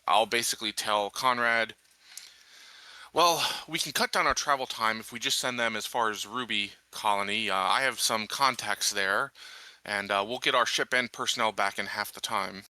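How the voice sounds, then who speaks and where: A man's voice: lowish voice, moderate pace, pushed and bright, dry recording. A man playing a tabletop roleplaying game, talking casually and a little hesitantly as he works out plans.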